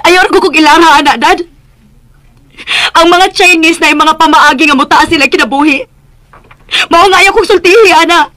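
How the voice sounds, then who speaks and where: Shrill, defiant young Visayan daughter. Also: female voice, normal speed, long pauses, sharp tone, no room sound. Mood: angry, defiant. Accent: Visayan Filipino. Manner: indignant, melodramatic. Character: defiant, wealthy young daughter resisting arranged marriage. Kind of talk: radio drama acting.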